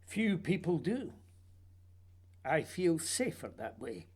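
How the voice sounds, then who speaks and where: A man's voice: a little high, very animated, slow, a little mellow, husky, full character voice. A man playing a whimsical, childlike playwright in a radio play, musing and teasing in a theatrical way. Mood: musing, wistful. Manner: theatrical, musing, playfully urging.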